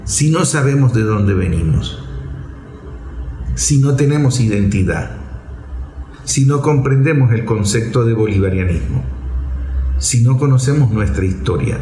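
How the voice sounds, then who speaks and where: A man's voice: medium pitch, expressive, unhurried, long pauses, warm tone, reverberant, soft background music, rough recording. A man with a Venezuelan accent delivers a political commentary monologue as a show host, explaining didactically in short, emphatic, repeated phrases.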